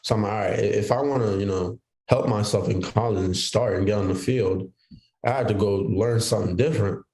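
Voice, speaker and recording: Young man, from Haiti, podcast guest, low pitch, dark mellow tone, roomy echo. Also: a little fast, creaky. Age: young adult. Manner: easygoing, storytelling with quoted inner thoughts. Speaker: guest football player. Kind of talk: casual interview chat.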